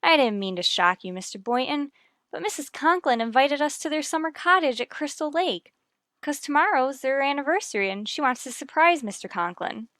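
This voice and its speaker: An American actress playing a wry, sardonic schoolteacher with dry delivery in a vintage radio sitcom. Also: female voice, high-pitched, animated, brisk, a bit bright, no echo. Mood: dry, coaxing.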